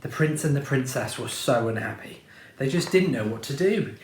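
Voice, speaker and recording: A man reading a children's storybook aloud in a playful, expressive way. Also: mid-range voice, normal speed, reverberant.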